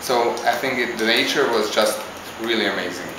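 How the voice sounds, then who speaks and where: A man from Hungary, answering interview questions in a halting, casual way as he searches for words. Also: fairly low pitch, noisy background. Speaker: interviewee, visiting traveler. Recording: very echoey with clear background ambience.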